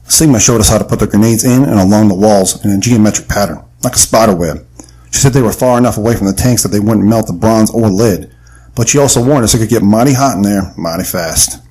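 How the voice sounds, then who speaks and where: A man's voice: on the low side, fast talker, dark tone, husky, poor audio. A man playing an earnest, folksy adventurer who tells his tale in an audio drama. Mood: narrating. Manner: earnest storytelling. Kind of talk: audio drama narration and acting.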